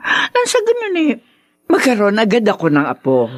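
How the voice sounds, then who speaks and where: An actress playing a haughty, scornful middle-aged rich matriarch in a Tagalog radio drama, with an imperious manner. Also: female voice, medium pitch, huge pitch swings, moderate pace. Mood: bossy, eager. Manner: imperious, contemptuous.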